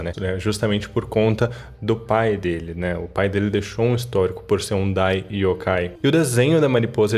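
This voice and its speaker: A man's voice: mid-range voice, a little mellow. A man with a Brazilian Portuguese accent presents conversational explainer commentary to his viewers as a channel host, often checking in with short tag questions. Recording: clear background music behind voice. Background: music.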